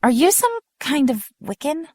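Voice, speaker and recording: A woman's voice: high-pitched, very animated, moderate pace, darkish tone, dry recording, studio quality. A woman playing a defiant, sarcastic and frightened young woman in an audio drama, indignant and skeptical in her delivery.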